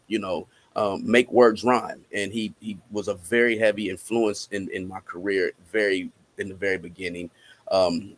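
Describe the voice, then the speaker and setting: A man with an American accent speaking casually as an interview guest, halting as he thinks aloud. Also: fairly low pitch. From Cincinnati, United States. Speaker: interviewed musician and rapper.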